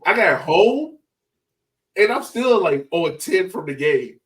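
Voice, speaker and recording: A man chatting casually as a sports fan podcast host, excited and slangy, swearing and repeating phrases for emphasis. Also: high voice, a little slow, recorded from a distance.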